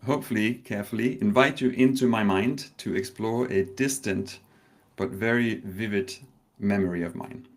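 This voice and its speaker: A man from Denmark speaks in a slightly low voice, giving a live talk over a video call. He tells a personal story carefully, with pauses and a hint of nerves. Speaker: conference speaker. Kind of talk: live online conference talk.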